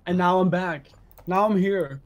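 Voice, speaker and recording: A man's voice: sits high, a little slow. A man with a Danish accent co-casting a game stream, teasing his partner in playful banter. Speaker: esports co-caster. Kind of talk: casual stream commentary.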